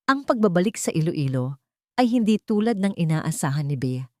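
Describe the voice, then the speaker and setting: Female narrator for dramatic audio fiction, lower register, dry close sound. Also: very animated, normal speed, no room sound. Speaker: storyteller.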